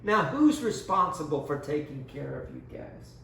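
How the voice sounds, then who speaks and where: A man's voice: fairly high pitch, big pitch swings, normal speed, gravelly, pushed and bright. A man giving a children's Bible lesson as storyteller, speaking simply and instructively and putting questions to small children. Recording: roomy, distant mic with background noise.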